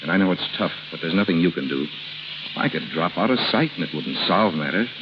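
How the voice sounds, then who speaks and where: A man's voice: sits low, animated, brisk, noisy background. A man acting in a 1930s radio drama as a determined, earnest lover, speaking in an insistent, forthright way. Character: determined, earnest male lover confronting his rival. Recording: old 1930s radio recording, music behind.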